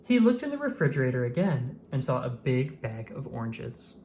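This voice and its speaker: A person reading a children's storybook aloud in an expressive, animated way with dramatic emphasis. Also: medium pitch, moderate pace, slight background noise. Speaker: children's book reader.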